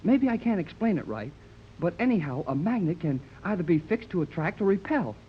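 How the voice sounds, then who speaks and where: Earnest excitable boy, high-pitched, swooping intonation, 1930s radio serial acting, dull old recording. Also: a little hiss or hum. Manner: boyish, earnest, exclamatory, slightly halting. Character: eager, earnest boy adventurer, polite and excitable.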